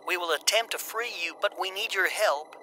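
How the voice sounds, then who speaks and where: A man's voice: high-pitched, normal speed, bright, forceful tone, slight background noise, voice pushed up. A man voicing an urgent young woman who commands a rescue ship, pleading and commanding in turn.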